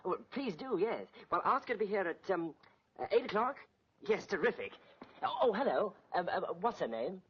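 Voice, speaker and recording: An actor playing a flustered, peevish army captain who stammers when surprised and snaps impatiently. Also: male voice, high voice, sing-song, moderate pace, slightly rough, full character voice. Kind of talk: 1940s film comedy acting. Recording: dull 1940s film soundtrack.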